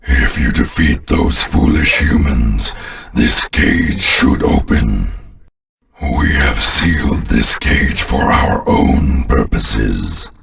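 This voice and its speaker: Game villain: alien commander, extremely low and slow, imperious, scornful of humans. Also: male voice, expressive, recorded from a distance, heavily acted. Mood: commanding. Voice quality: very deep, gravelly. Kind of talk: video game villain voice lines.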